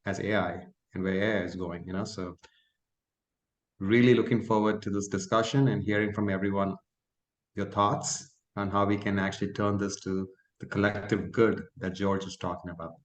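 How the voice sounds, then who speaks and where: A man's voice: on the low side, little pitch movement, normal speed. A man whose first language is Malayalam, from south India, speaking earnestly and reflectively with frequent fillers as a guest on a video call. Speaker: guest participant. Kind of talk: casual talk at an online meeting. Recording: roomy echo on a call.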